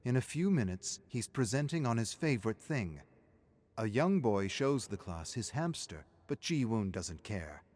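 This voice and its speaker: A man reading dramatic scripted narration of a dark story in a measured, grave manner. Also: medium pitch, very animated.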